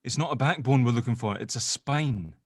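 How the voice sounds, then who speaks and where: A man's voice: medium pitch, fairly quick, dry recording, close to an everyday voice. A man acts an earnest amateur sleuth with a mid-range voice. He sounds exasperated and a little hesitant as he reasons things out. The drama read is close and dry. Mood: explaining. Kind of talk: audio drama table read.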